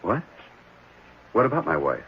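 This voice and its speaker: A man playing an earnest, intense enthusiast with a husky voice and emphatic, urgent delivery in a 1970s radio drama. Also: medium pitch, big pitch swings, unhurried, slight background noise. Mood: uneasy. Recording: muffled old radio broadcast.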